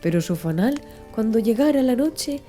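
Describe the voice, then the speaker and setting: Female audiobook narrator, very dark soft-edged tone, lively melodic delivery, music underneath. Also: a little high, moderate pace. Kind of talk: scripted literary audiobook narration.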